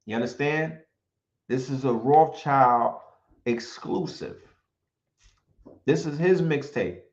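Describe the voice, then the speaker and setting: A man speaking in an animated, emphatic commentary, often throwing in rhetorical questions and sometimes reading from notes. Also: medium pitch, slow pace. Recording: roomy, dull lo-fi sound.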